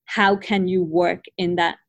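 A woman who grew up in Ireland, hosting an academic conversation in a thoughtful, measured way with frequent hedges. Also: fairly low pitch, level tone, dry recording, highs cut off. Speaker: podcast host.

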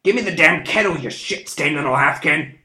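A man's voice: mid-range voice, animated, a little fast, lightly acted. A man voicing a harsh, sneering street thief. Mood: panicked shouting. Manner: sneering, rough-edged drawl. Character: harsh scarred grown male thief, menacing and cowardly. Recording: roomy echo.

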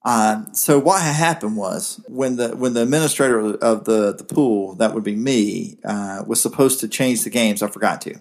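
A man's voice: on the low side, moderate pace, hardly any pauses, darkish tone. Rambling Southern man on a sports show. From South Georgia. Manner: rambling, frequent restarts. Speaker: co-host. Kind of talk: casual sports talk.

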